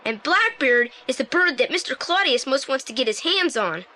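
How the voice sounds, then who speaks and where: An actor playing a keen, excitable boy detective's sidekick in an audio play, talking in an eager colloquial way. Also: high voice, normal speed, heavily acted. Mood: eager, curious. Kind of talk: 1980s audio play acting.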